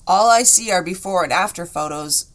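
A woman hosting a solo podcast, chatting in an encouraging way, her voice a bit rough today. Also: on the low side, normal speed, a bit bright. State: slightly rough voice. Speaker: podcast host.

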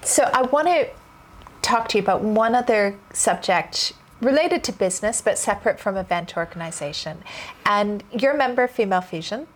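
A woman's voice: medium pitch, lively intonation, moderate pace. A woman hosting a podcast interview, chatty and agreeable, often affirming her guest. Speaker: podcast host. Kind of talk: conversational interview.

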